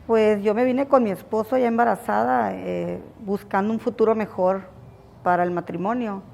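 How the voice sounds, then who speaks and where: A woman's voice: medium pitch, steady tone, normal speed, creaky, a little mellow. A woman in her fifties from Sinaloa, recalling her past in a reflective, hesitant way during an interview. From Mexico, Sinaloa. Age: 50s. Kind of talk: personal recollection. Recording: long reverb.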